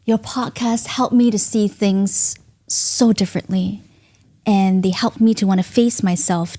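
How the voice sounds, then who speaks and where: A woman with a Singaporean accent hosts a solo podcast in a studio, speaking earnestly and reflectively in deliberate phrases with pauses. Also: mid-range voice, warm tone. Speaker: podcast host.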